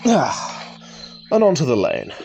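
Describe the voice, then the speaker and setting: A man acting as a country rambler who talks to himself in a radio play. His delivery is lively and swings widely in pitch, moving between wry musing and old-fashioned exclamations. Music plays faintly behind him. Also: takes it slowly, exaggerated voice. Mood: wry, rueful. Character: chatty male country rambler talking to himself, wry and excitable. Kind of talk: radio drama monologue, thinking aloud.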